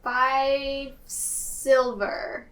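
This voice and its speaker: A woman playing a tabletop game, speaking casually in character in a halting, repetitive way. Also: high voice, level tone, a bit bright. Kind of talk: casual in-character improvised play. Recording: slightly distant mic, faint noise.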